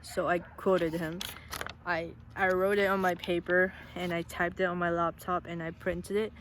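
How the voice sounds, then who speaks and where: A voice, a Tagalog speaker, talks to the camera in an earnest, casual way, stumbling and restarting phrases as thoughts come. The voice sits at an ordinary middle pitch, and faint vehicle noise hums in the background. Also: level tone, moderate pace, a bit bright. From Philippines. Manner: earnest, frequent restarts and repeated words. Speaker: vlogger. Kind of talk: casual heartfelt vlog talk.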